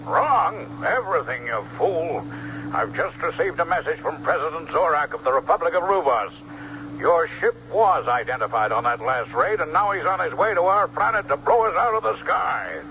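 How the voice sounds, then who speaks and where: An imperious, short-tempered emperor in a radio play. His blustering male voice rides fairly high and jumps about in pitch. The sound is an old, noisy broadcast. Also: animated, a little fast, pushed and bright, dull audio. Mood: irate, commanding. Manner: peremptory, blustering. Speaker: actor in a radio drama. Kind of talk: 1970s radio drama acting.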